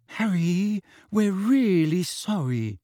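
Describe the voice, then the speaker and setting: A man's voice: a little high, big pitch swings, darkish tone, slightly rough, dry recording, studio quality, voice pushed up. A man voicing a nervous teenage girl. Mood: pleading. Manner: apologetic, hurried. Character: anxious clever teenage girl.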